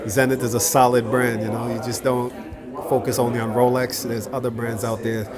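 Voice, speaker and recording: A man's voice: mid-range voice, little pitch movement, fairly quick. Friendly male host over crowd chatter. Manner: friendly, agreeable, lots of short affirmations. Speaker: interviewer and channel host. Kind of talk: casual event interview. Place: indoor watch boutique event.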